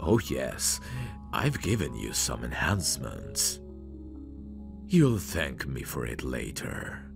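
A man playing a possessive, creepy, doting mad scientist in an intimate ASMR roleplay, theatrical and breathy, slipping into whispers. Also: very deep voice, sing-song, slow pace, full character voice. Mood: admiring, eerie. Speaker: ASMR voice actor. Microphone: close microphone. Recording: close-miked voice over clear music. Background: music.

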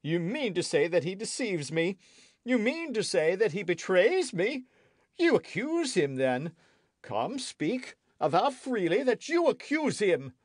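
A man's voice: high voice, big pitch swings, measured pace, no echo, clean studio sound, pitched above the performer's own voice, full character voice. A man reading an audiobook, voicing a suspicious, petulant young French king in a querulous, demanding way. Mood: indignant. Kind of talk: audiobook character voice.

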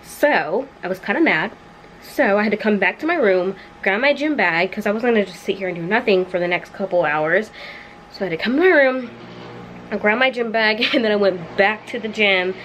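A young woman chatting casually to camera in a small dorm room, animated and quick with asides. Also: medium pitch, a little hiss or hum, poor audio. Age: young adult. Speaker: vlogger. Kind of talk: casual vlog chat to camera. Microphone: handheld camera close up.

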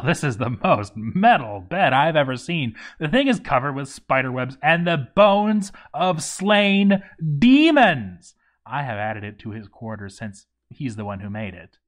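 A man reading a scripted game story in character, with wry, sarcastic asides. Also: mid-range voice. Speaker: narrator of a game story read-through.

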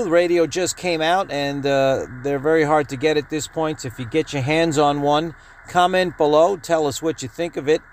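A man hosting a hobby video, talking through a product in an informal, matter-of-fact way. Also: a little high. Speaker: host of a radio-hobby video channel. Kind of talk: casual product announcement and feature rundown. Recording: clear steady background noise.

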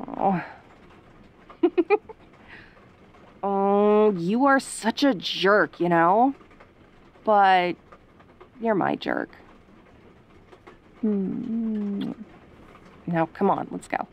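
Woman acting a sassy, flustered tsundere tomboy, medium pitch. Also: sing-song, full character voice. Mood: flustered, affectionate. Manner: sarcastic, halting, bashfully defensive. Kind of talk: audio roleplay acting.

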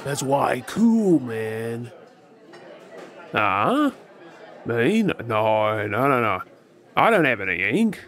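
A man's voice: medium pitch, very animated, fairly bright tone. Man acting a swooping, tipsy Australian flirt. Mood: curious, tipsy. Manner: teasing, drawling, halting with hesitations. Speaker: audio roleplay performer. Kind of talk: ASMR audio roleplay, flirty monologue to the listener. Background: faint bar crowd chatter.

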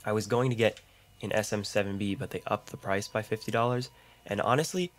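A young man with a deep voice and a slightly rough throat, chatting casually through gameplay commentary into a condenser mic, rambling and self-deprecating, with audible mouth clicks. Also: fairly even intonation, a little fast. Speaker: gaming YouTuber. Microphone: large condenser studio mic.

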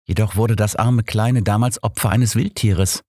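Man voicing a lively, outspoken younger woman, lifted pitch. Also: normal speed, no room sound, studio quality, natural voice. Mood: earnest, concerned. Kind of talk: audiobook character voice.

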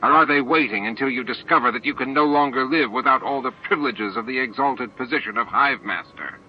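A man's voice: medium pitch, a little fast, a little hiss or hum, pitched above the performer's own voice, lightly acted. Man voicing a sneering, angry alien radical, muffled tape. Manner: snorting, sulky, snarling, contemptuous. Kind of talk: dramatized audiobook reading. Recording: dull lo-fi audiobook tape with music.

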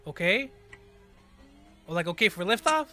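A young American man with a bright, forward voice reacts casually, full of slang and exclamations. He records at an indoor gaming setup, with music playing behind him. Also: sits high, sing-song, normal speed. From California, United States. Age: 20s. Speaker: reaction video host.

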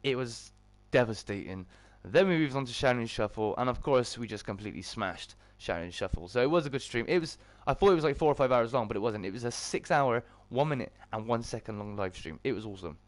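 Slightly croaky British man chatting on stream. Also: mid-range voice, animated, quick pace, hardly any pauses, dry recording. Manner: chummy greetings to viewers. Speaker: live streamer and host. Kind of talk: casual livestream chat with viewers.

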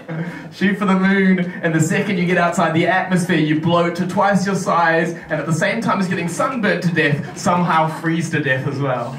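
A young man with a New Zealand accent, a stand-up comedian with a dry, deadpan, self-deprecating delivery, speaking into a handheld mic in a brick comedy club. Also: sits high, a little slow, roomy sound, recorded from a distance, slight background noise, poor audio. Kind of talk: stand-up set and casual interview. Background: crowd laughter.

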